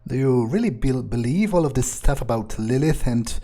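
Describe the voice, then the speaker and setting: A cynical male vampire voiced by a man role-playing mutters through a game. His voice is mid-pitched, muted in tone and rough-edged. He talks sardonically and swears freely, improvising as he goes. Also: animated, normal speed, mellow. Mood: skeptical. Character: cynical, foul-mouthed, brooding male vampire. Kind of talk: in-character improvised game playthrough.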